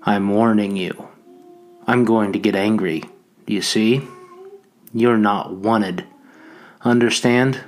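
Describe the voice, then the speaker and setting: A man's voice: lowish voice, steady tone, takes it slowly, long pauses. A man reading as the taunting Lord of the Flies. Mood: threatening. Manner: mocking, coaxing then threatening. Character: menacing talking pig's head, sly and taunting.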